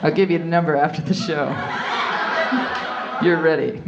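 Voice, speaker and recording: A woman's voice: sits low, fairly even intonation, moderate pace, lo-fi sound, over a noisy crowd. A woman comedian performing a stand-up routine, telling candid, bawdy stories and acting out asides. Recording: live comedy club with audience.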